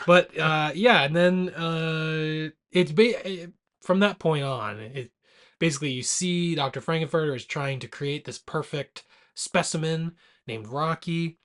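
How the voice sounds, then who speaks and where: A man's voice: a little high, measured pace, a bit bright. A man co-hosting a podcast, chatting casually about movies in a dry, teasing way. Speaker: podcast co-host.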